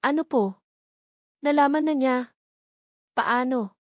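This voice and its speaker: A woman's voice: sits high, takes it slowly, no echo, clean studio sound, pitched above the performer's own voice. A woman with a Filipino accent voicing a jealous, desperate young fiancée in a romance story, agitated and pleading.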